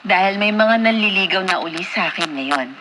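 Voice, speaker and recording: A woman's voice: mid-range voice, lively intonation, a little fast, noisy background, natural voice. A woman with a Filipino accent acting a gracious young heiress in a Tagalog radio drama. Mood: bright, affectionate. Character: Julia, young Filipina heiress, once haughty, now gracious.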